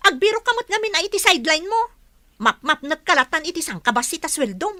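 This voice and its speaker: A discontented wife in a Filipino radio drama. Her high, piercing voice swings up and down with indignation, sharp-tongued and querulous in a Filipino accent. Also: big pitch swings, quick pace, no room sound, full character voice. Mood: nagging. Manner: querulous, retorting.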